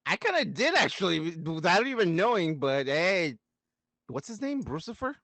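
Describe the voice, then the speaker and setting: A man's voice: high voice, big pitch swings, moderate pace, fairly bright tone. A man co-hosting a podcast in laid-back, profane casual chat, mostly agreeing with his partner. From United States. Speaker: podcast co-host.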